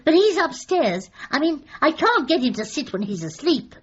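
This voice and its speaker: Meek, high-voiced British woman dog owner. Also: very animated, moderate pace, highs cut off. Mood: apologetic, chatty. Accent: British English. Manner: timid, apologetic, wistfully comic. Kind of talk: radio comedy drama acting.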